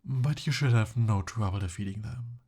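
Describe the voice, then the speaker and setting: A man playing a smooth-talking, eager young captive prince in an ASMR roleplay, with formal, courtly and hesitant phrasing. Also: medium pitch, moderate pace, warm tone, lightly acted. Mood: smooth, scheming.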